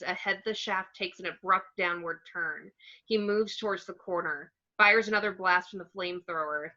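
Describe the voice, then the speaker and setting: A woman's voice: on the low side, sharp tone. A woman narrator reading out screenplay action descriptions on a dull, lo-fi home recording.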